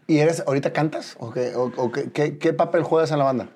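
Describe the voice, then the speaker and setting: A man's voice: on the high side, brisk, darkish tone, slightly rough. A man with a Mexican accent hosting a casual interview, enthusiastic and full of slang. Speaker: interviewer, podcast host. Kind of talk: casual chat.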